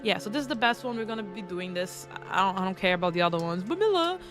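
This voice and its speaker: Woman streamer, congested throaty voice, mid-range pitch, playful self-mocking chatter over game music. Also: animated, fast. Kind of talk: casual game stream commentary, reading game text aloud.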